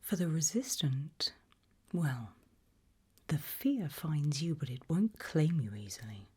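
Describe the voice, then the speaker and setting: A woman whispering an ASMR role-play straight to the listener in a breathy, measured, quietly ominous way. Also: very deep voice. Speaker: ASMR host playing a fear-test examiner.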